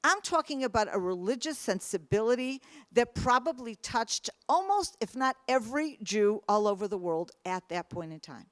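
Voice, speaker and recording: Earnest woman with a high, dry voice. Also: sing-song, normal speed, no echo. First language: English. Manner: earnest, emphatic storytelling with rising questions. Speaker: speaker. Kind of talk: personal testimony talk.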